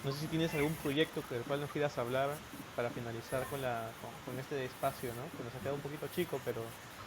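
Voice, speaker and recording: A man hosting a casual live interview in a tentative, halting way. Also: medium pitch, steady tone. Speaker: interview host. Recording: noisy live-stream audio.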